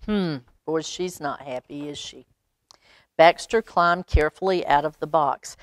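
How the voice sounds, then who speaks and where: A woman reads a children's picture book aloud, adding expressive, chatty asides for young listeners. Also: low voice, measured pace, dry recording. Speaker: library storytime reader.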